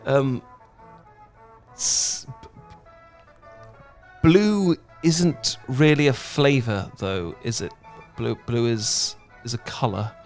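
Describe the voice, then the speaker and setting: A British man playing an awkward, flustered, dorky young man in a romantic audio roleplay, stammering, halting and apologetic as he rambles. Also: mid-range voice, faint music behind. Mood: rambling, apologetic. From Britain.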